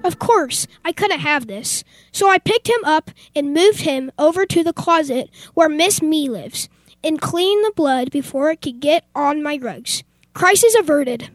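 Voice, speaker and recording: Young woman as a very high-voiced fussy gentleman. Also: animated, measured pace, no room sound. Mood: fussy, indignant. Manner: fussy, exclamatory, posh phrasing. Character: fussy, wealthy, oblivious gentleman homeowner. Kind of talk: amateur old-time radio theater acting.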